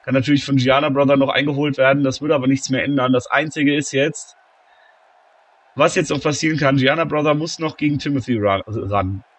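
Excitable game-wrestling commentator. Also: male voice, a little fast, slightly rough. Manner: shouts and gets high-pitched at big moments, calmer when tallying scores. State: has a cold, slightly hoarse.

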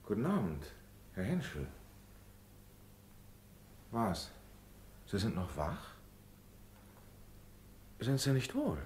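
An actor playing a composed, kindly gentleman neighbour in a 1960s radio play, husky and soothing, with educated, measured speech. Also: lowish voice, huge pitch swings, slight background noise, heavily acted. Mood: concerned, soothing.

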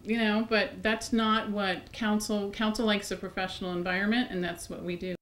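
A woman's voice: mid-range voice, fairly even intonation, normal speed, fairly bright tone. A middle-aged woman answering interview questions off the cuff in an office. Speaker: facilities manager interviewee. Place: indoor office.

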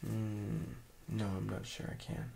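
A soft-spoken man giving quiet, hesitant short answers as the patient in an ASMR role-play. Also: lowish voice, monotone, a little slow, creaky, slight background noise. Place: small room indoors.

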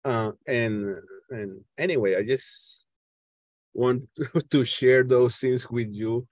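A middle-aged man from Venezuela with a Venezuelan Spanish accent speaks haltingly on a video call, repeating words as he hosts an informal political discussion. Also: mid-range voice, a little mellow, no echo. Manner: halting, stammering repetitions as he searches for words. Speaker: host of an online panel discussion. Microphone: earphones on a video call.

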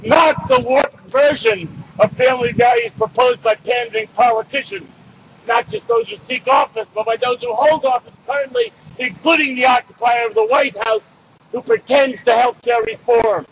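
A middle-aged man gives an emphatic, loudly projected political speech at an outdoor rally, reading from notes. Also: very high voice, moderate pace, low-quality recording. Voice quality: loud, projected voice. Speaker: rally speaker. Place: outdoors on city building steps in winter. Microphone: camera a few feet away. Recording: outdoor street noise, no microphone.

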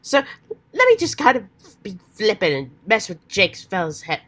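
A woman voicing an earnest, excitable, old-fashioned adventurous teenage boy with hammy, folksy slang, while getting over a cold. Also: medium pitch, moderate pace. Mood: mocking. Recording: faint background noise.

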